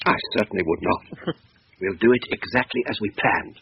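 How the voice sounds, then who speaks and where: An actor playing a rational, dismissive middle-aged English husband in a brisk, emphatic old-time British radio drama style. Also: male voice, on the low side, creaky. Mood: cosy, contented. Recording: muffled, hissy old radio recording.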